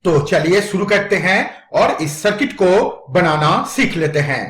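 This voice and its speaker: A man's voice: fairly high pitch, measured pace, fairly bright tone, roomy sound. A man giving a methodical, instructional step-by-step narration for an electronics tutorial.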